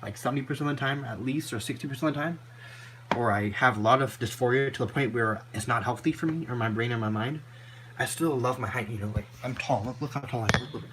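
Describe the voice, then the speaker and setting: A man with a deep voice at a middle pitch, speaking in a loose, personal vlog monologue. He rambles, circles back on his points and scatters "you know" through nearly every sentence. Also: fast, noisy background. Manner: rambling, with constant "you know" fillers and repeats. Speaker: vlogger.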